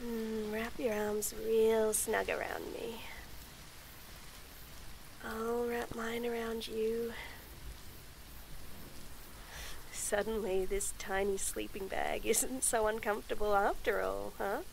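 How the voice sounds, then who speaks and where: A woman voicing a shy young tomboy friend, speaking softly and stammering through a nervous confession in a scripted audio roleplay. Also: a little high, flat delivery, gravelly, slight background noise. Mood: tender. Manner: stammering, halting, soft. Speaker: voice actress.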